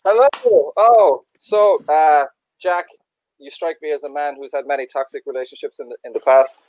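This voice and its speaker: A man doing stand-up over a video call, telling a crude anecdote with self-mocking, deadpan asides. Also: on the high side, normal speed, a little hiss or hum, poor audio. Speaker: stand-up comedian.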